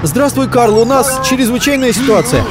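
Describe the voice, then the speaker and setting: A man playing an excitable, caring narrator in a children's cartoon, speaking expressively to little vehicle characters. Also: very high voice, normal speed. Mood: alarmed. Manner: exclamatory, expressive, addressing small children. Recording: music behind the voice.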